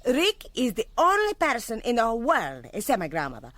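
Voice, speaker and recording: Young lady in a radio play, kinda high, super swoopy, formal, blunt, sure of herself. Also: huge pitch swings, brisk, fairly bright tone, heavily acted. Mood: self-satisfied. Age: young adult. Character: self-assured, theatrical young Brazilian woman, cheerfully blunt. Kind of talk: radio drama acting.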